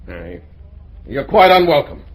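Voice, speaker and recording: An actor playing a gruff, blunt, middle-aged businessman father with a pompous, blustering manner in an old radio drama. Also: on the high side, sing-song, measured pace, noisy background. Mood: curt, irritated. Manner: blustering, pompous, hesitating with ums. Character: gruff, blunt older father and plant owner, disapproving.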